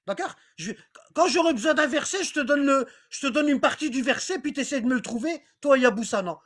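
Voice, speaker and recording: High-pitched teacher rambling through a class on a voice call, repeats stuff, keeps asking "ok?". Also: expressive, a little fast, a bit bright. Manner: repetitive, rambling, checks for understanding; reads aloud from text, then comments. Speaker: religious teacher. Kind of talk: informal online class.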